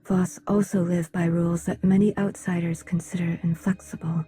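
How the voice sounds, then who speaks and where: Dark-voiced, solemn alien envoy. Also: female voice, lowish voice, flat delivery, breathy edge. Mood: solemn, measured. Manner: measured, formal, restrained. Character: solemn, reserved alien woman, a mystic's envoy of Voss. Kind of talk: video game cutscene dialogue.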